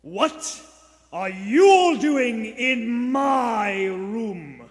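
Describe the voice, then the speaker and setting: In a reverberant theater hall, a menacing, pious male lodger in an old radio play. His voice is pitched high and swings widely, yet he speaks slowly and sanctimoniously, ready to flare into indignation. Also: expressive, exaggerated voice. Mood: stern. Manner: slow, sanctimonious, erupting into indignation. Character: menacing, pious, secretive male lodger preaching against pleasure. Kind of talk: live vintage radio play acting. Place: theater stage before a live audience.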